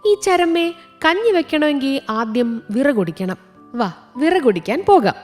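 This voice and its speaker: A hardworking mother-hen of a cat, acted by a woman storyteller, patient with her friend. Her voice is very high and lilts up and down in a singsong call, made for a children's cartoon tale. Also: big pitch swings, moderate pace, faint music behind. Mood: coaxing.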